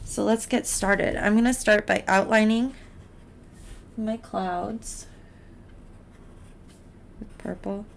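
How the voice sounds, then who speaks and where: A woman teaching art in a casual step-by-step drawing tutorial, encouraging and unhurried as she talks through each step while she works. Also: medium pitch, steady tone. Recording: clear background noise, drawing sounds.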